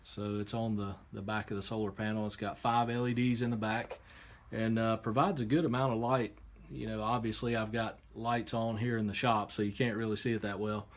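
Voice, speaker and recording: A man giving a casual, unscripted hands-on product demonstration, explaining step by step in a halting way. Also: lowish voice, flat delivery. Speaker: product reviewer. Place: indoor workshop. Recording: clear background noise, room ambience.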